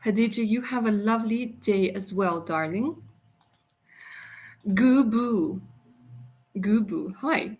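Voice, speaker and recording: A woman's voice: medium pitch, slow pace, pauses often, a little mellow. A woman doing a live tarot reading on a stream, chatty and affectionate, calling viewers darling. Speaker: tarot reader.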